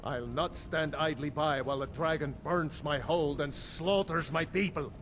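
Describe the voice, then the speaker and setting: An actor playing a stern middle-aged Jarl in a fantasy video game, speaking formally and with authority. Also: male voice, fairly high pitch, normal speed, a bit bright, a little hiss or hum, close to an everyday voice. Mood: stern resolve.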